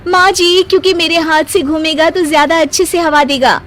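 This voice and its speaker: A woman voicing a proud, stubborn young married woman in an animated moral story, with a bossy, emphatic way of talking. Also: very high voice, moderate pace, a little hiss or hum, pitched above the performer's own voice. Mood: eager.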